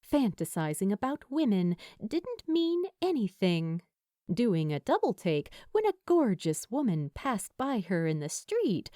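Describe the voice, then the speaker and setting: A woman reading scripted fiction as a storyteller, in an expressive way. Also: a little high, dry recording, clean studio sound. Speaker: audiobook-style fan fiction narrator.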